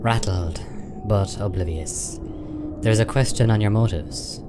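A man playing a wary peacekeeper imp in a radio play, with a dry, formal, measured delivery. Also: lowish voice, natural voice. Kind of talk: short radio play acting. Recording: music behind the voice.

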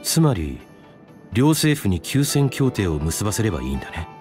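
A courteous young military commander from a video game. His voice is fairly low with lively intonation, measured and earnest in manner. Music is clearly heard behind it. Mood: calm, resolute. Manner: measured, earnest, gently formal. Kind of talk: Japanese video game voice acting.

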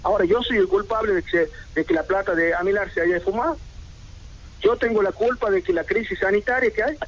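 A man's voice: high voice, brisk. A middle-aged man with an Argentine accent, making an indignant protest statement, firing rhetorical questions one after another. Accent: Argentine Spanish. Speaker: merchant speaking to local radio. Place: indoors, seated in red armchair. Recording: lo-fi radio broadcast audio.

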